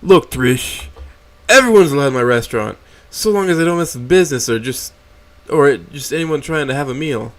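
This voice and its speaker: A man doing a loud New York Tyrannosaurus, a jolly, blustering boss who talks in a high, bellowing voice. He brushes off every complaint in a casual, dismissive way. This is storybook-style voice acting. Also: animated, a little fast, hardly any pauses, natural voice. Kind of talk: reading a fan story aloud.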